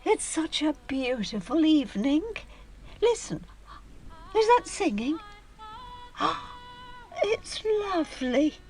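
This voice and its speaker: An imperious middle-aged mother in a radio play. She speaks in a slow, deliberate way with big swoops of pitch. Her delivery is theatrical and sardonic, with a wounded edge. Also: very animated, a little mellow, full character voice, low-quality recording. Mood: wistful, nostalgic. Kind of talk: audio drama acting.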